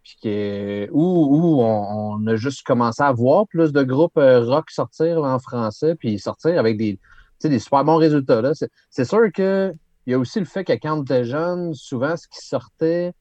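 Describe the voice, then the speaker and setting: A man's voice: mid-range voice, lively intonation, normal speed, warm tone, dry recording, dull audio. A man with a Québécois accent, a musician guest talking casually with lots of fillers and some laughter. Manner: chatty, laughing, many fillers. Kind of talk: casual interview.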